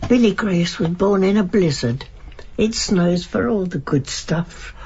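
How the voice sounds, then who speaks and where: An actress playing an elderly working-class mother, wistful and devoted, speaking deliberately in a naturalistic radio drama. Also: fairly low pitch, animated, darkish tone, husky, highs cut off, heavily acted. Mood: tender, grieving. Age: older.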